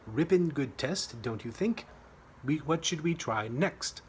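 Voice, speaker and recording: A man's voice: on the high side, animated, unhurried, a little hiss or hum. A man voicing a jaunty, oblivious young British First World War pilot with plummy, chipper period slang in a humorous story reading. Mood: cheerfully pleased.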